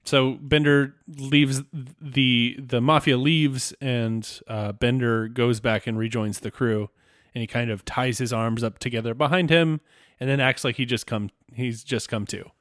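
Regular guy podcast cohost, medium pitch, chatty recap style, dry close audio. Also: fairly even intonation, unhurried, a bit bright, no echo. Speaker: podcast co-host. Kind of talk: casual episode recap chat.

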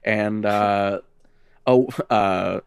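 A man's voice: on the low side, steady tone, extremely slow, creaky, clean tone, no room sound, clean studio sound. A man co-hosting a podcast, casually chatting and reading game lore aloud, with sweary, wry asides. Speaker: podcast co-host.

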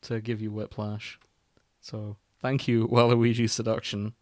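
A man's voice: on the low side, little pitch movement, unhurried, no room sound. Guy hosting a jokey podcast w friends, kinda wry, very dry sound. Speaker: podcast host. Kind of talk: casual comedy chat with friends.

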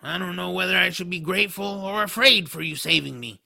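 A man voicing a bitter, sarcastic male troll in an audio drama, with a harsh gravelly voice and a grumbling manner. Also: high voice, fairly quick, sharp tone, exaggerated voice. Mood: wary. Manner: sardonic, grumbling.

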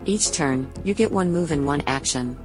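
A woman's voice: low voice, expressive, moderate pace, music in the background. A woman acting as a game system announcer, reading scripted prompts and options.

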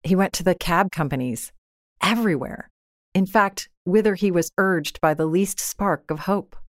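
A woman narrating a literary short story in a measured, expressive, scripted style. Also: lowish voice, no echo, studio quality.

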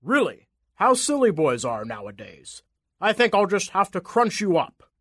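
A man voicing a huge, grumpy giant apple monster in a storybook tale, with a wet, grainy, roaring voice and a slow, scornful manner. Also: high voice, huge pitch swings, a bit bright, dry recording, clean studio sound, exaggerated voice. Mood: roaring, menacing.

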